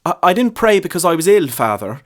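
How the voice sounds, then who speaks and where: Guy doing a jumpy young churchgoer lad in a story, raised voice pitch, stammery and guilty. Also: very animated, fairly quick. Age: young adult. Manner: stammering, panicky, earnest. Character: nervous, guilty young male parishioner. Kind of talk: short story character reading.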